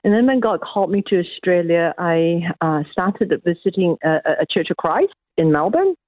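A woman's voice: lowish voice, lively intonation, moderate pace, dry recording. A middle-aged woman from Malaysia, an academic guest answering interview questions, hesitant with many restarts. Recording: tinny phone line.

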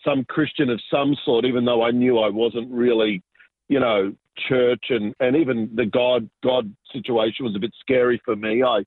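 A man, telling his story as an interview guest in a reflective, halting way full of fillers and restarts. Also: mid-range voice, fairly even intonation, no echo. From Argentina, raised in Sydney. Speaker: guest, business pastor. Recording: dry, phone-like narrow sound.

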